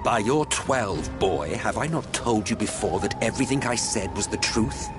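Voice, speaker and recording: An actor playing an ancient, scornful immortal sorcerer in a video game, theatrical and condescending, with a drawn-out sardonic delivery. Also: male voice, lowish voice, animated, over music, natural voice. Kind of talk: dramatic video game voice acting.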